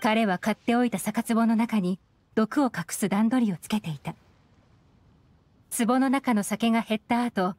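A woman's voice: a little high, a little mellow, no echo, close to an everyday voice. Cool, sly female secret agent played by a woman. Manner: composed, teasing, knowing. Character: cool, sly young female intelligence agent, self-assured, thrill-seeking. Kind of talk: Japanese anime game voice acting. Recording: music behind the voice.

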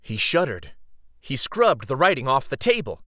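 A man reading a children's story aloud dramatically, with theatrical, suspenseful pauses. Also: medium pitch, big pitch swings, fairly bright tone, no echo, studio quality.

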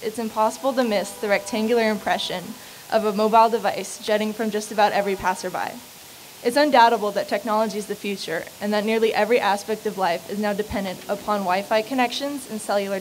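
A woman's voice: medium pitch, poor audio. A late-teen American woman reading her essay aloud into a podium microphone outdoors as a student speaker. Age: late teens. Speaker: student essay contest winner. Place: outdoor podium on building steps. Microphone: stand microphone at podium. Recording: clear hiss behind voice.

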